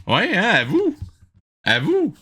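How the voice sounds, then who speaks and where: A man's voice: high-pitched, huge pitch swings, slow, over music. A man hosting a casual, humorous reaction commentary on a cartoon, with a wry, joking manner.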